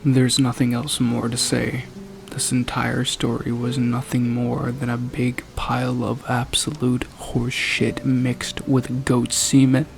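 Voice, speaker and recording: A man with a gravelly voice giving a dramatic reading and scornful review in a deliberate, foul-mouthed manner. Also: mid-range voice, monotone, noisy background. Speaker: creepypasta narrator and reviewer. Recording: music playing behind the voice. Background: background music.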